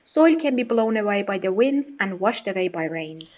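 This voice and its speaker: A slightly muffled, lo-fi recording of a woman reading a prepared passage aloud. Her voice sits at a medium pitch, in a scripted practice style. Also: expressive, normal speed. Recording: dull, lo-fi audio.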